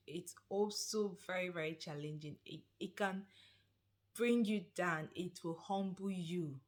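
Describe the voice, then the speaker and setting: A woman in her thirties from Nigeria with a Nigerian accent, chatting casually in an encouraging, animated vlog and repeating phrases for emphasis. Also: lowish voice. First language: not Swedish. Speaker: vlogger.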